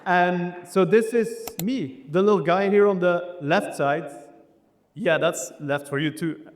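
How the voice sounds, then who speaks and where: A man's voice: high voice, slow. A man with a Belgian accent giving an enthusiastic motivational business presentation to a live audience, as a keynote speaker. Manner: enthusiastic, rallying the audience with questions. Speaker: keynote speaker, landscape architect. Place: indoor event hall, speaking on stage. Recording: reverberant hall sound.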